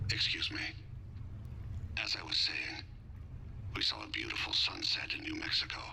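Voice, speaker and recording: A man voicing a dying, calm male alien assassin in a video game, speaking in a breathy, formal, measured hush. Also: sits low, very noisy, exaggerated voice. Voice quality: hushed, breathy, gravelly. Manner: measured, formal, softly reflective.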